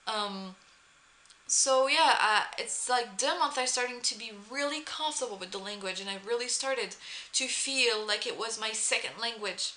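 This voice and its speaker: A young woman with a French accent, a native French speaker, chatting casually to camera with many pauses and restarts. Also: a little high, bright, forceful tone. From France. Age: young adult. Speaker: vlogger, former exchange student.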